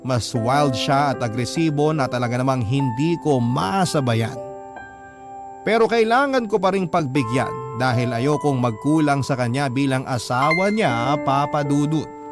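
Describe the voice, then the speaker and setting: Man playing a troubled filipino husband telling his story on radio drama, clear music bed behind him. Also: medium pitch, expressive, unhurried, close to an everyday voice. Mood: confiding, resigned. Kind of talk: first-person radio drama narration.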